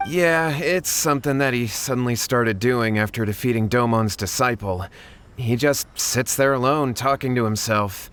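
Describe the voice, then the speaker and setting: An actor playing an anxious, deferential young villager in a video game, earnest and stammering. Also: male voice, medium pitch, moderate pace, fairly bright tone, smooth, close to an everyday voice. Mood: worried. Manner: stammering, earnest, eager to please.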